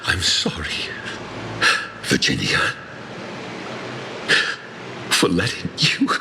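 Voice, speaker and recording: A man's voice: low voice, huge pitch swings, takes it slowly, vocal fry, noisy background, heavily acted. A man voicing an anguished, devoted male warrior in a dramatic game cutscene. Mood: grieving. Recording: music behind the voice.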